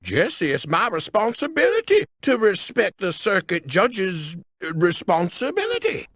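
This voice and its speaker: Crooked southern boss man, raspy, pompous, stammery, swingy pitch, cartoonish video game. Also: wildly swooping pitch, a little slow, a bit bright, dry recording, studio quality, full character voice. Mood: smug, flustered. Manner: blustering, stammering. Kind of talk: scripted video game acting.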